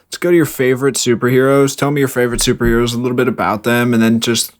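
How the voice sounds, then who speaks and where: A man's voice: mid-range voice, steady tone, fast talker. A young man co-hosting a casual podcast, rambling with lots of fillers and laughing often. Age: young adult. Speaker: podcast co-host. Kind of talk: casual chat.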